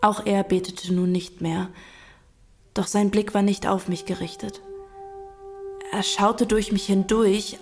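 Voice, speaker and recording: A woman's voice: mid-range voice, darkish tone, close to an everyday voice. A woman narrating a radio drama in first person, earnest and emotional, as a young pilgrim recalling events.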